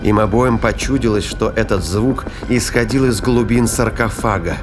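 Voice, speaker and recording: A man's voice: fairly low pitch, moderate pace. A man narrating an audio drama in a scripted, dramatic storytelling style. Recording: music behind the voice.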